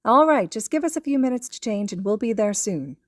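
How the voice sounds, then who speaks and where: A gentle mother, put on by a woman narrator, friendly and affectionate, with lifted pitch. She is a cheerful woman in a storybook tale. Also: animated, fairly quick, no room sound, studio quality, natural voice.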